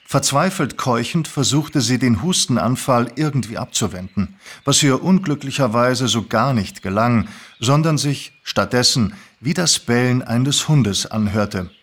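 A man narrating a story in an expressive, scripted reading. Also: medium pitch, unhurried, few pauses, breathy edge. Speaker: narrator, actor.

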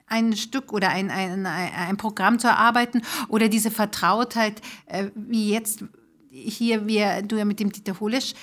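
A woman's voice: medium pitch, fairly even intonation, moderate pace, a bit bright. A woman interviewer with a mid-range voice asks her guest long questions. She stumbles and fills gaps as she goes. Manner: hesitant questions with fillers. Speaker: host.

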